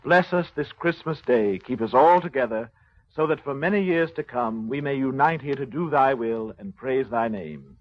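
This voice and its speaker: A man playing a gentle, devout, humble father, speaking mildly and earnestly in a 1930s radio drama. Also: mid-range voice, moderate pace, lightly acted. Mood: reverent. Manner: mild, earnest. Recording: muffled old radio broadcast.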